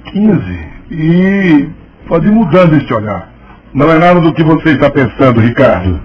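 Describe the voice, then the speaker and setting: Guy acting evasive journalist friend in a radio soap, highish voice, dull recording. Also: big pitch swings, normal speed. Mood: explaining, defensive. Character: male journalist, evasive, gently teasing friend. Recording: dull lo-fi sound.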